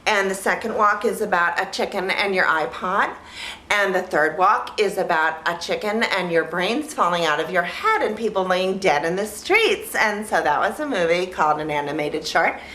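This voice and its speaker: A woman vlog host talking casually and ramblingly in a naive, childlike way, halting and repeating phrases as she searches for words. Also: lowish voice, animated, sharp tone, roomy sound. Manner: childlike, naive delivery in simple sentences. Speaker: vlog host reviewing films. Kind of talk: casual rambling talk to camera.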